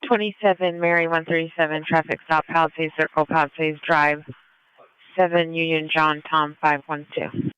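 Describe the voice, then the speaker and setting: A man's voice: a little high, little pitch movement, normal speed, sharp tone, clean tone, no room sound, low-quality recording. A man working as a police dispatcher, reading codes and numbers in a clipped way over a scanner radio.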